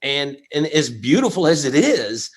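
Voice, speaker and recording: A middle-aged man hosting a comedy livestream, chatting in a rambling, excitable way with lots of stammered restarts. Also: on the high side, moderate pace, slightly rough. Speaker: comedy show host and tequila promoter. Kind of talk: casual banter on a livestream.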